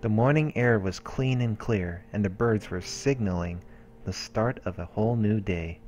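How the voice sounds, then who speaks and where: A man's voice: fairly low pitch, normal speed, a little mellow. A man hosting a let's play, reading a visual novel's narration aloud like a storyteller. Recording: music under the voice. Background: game background music.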